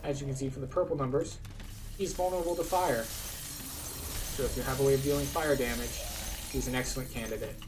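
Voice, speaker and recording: Guy doing a chill game walkthrough, explains stuff, normal pitch, bit of background noise. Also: fairly even intonation, a little fast, long pauses, far from the mic. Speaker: game tour guide. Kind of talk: casual scripted playthrough commentary. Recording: faint noise behind voice.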